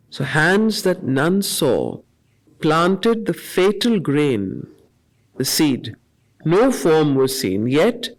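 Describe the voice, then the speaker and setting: A woman facilitating a study circle, reading poetry in a deliberate, measured way with pauses between short phrases and explaining it as she goes. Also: deep voice.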